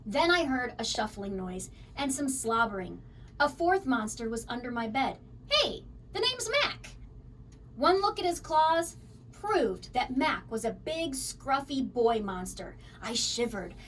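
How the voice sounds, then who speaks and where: A woman reading a children's story aloud in an expressive, dramatic way. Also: fairly high pitch, unhurried, distant mic, slight background noise, low-quality recording. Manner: animated, dramatic. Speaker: storybook reader.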